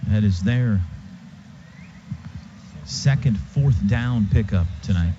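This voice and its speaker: A man's voice: lowish voice, slow. A man doing live football play-by-play on radio in clipped, punchy phrases. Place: radio booth at a football stadium. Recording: dull lo-fi radio broadcast, clear stadium noise.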